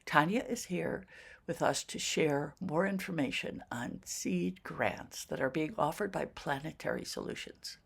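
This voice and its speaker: A woman trustee reading a formal, measured introduction of a guest speaker. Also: sits low, vocal fry, slightly rough. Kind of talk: read introduction.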